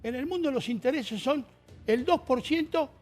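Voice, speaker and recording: A man, a panelist on a television debate who speaks haltingly and repeats words. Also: very high voice, a little mellow, soft background music.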